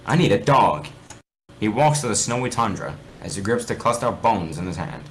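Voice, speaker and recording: A man's voice: on the low side, brisk, slight background noise. A man reading fan fiction aloud in an amateur dramatic style, often stumbling and correcting himself. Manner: theatrical, stumbles over words and corrects himself.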